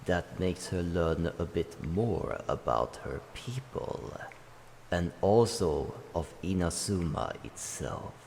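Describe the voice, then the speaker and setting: A man voicing an ancient dragon guardian, solemn, calm and kindly, speaking slowly in formal, measured phrases in a roleplay addressed to the listener. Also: exaggerated voice. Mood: reflective, solemn. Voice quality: deep, gravelly. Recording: long cavernous reverb, faint background noise.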